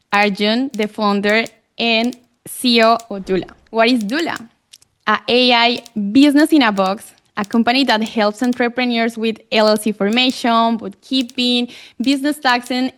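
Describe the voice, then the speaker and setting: A woman's voice: on the high side, a bit bright. A Colombian woman whose first language is Spanish, hosting a podcast interview in English with eager delivery, small slips and fillers. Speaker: podcast host.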